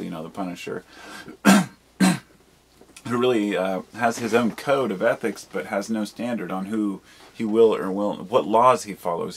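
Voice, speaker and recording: A man's voice: sits low, breathy edge. A man talking in a casual, rambling monologue, candid and casually profane, reflective and questioning himself as he goes. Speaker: video blogger.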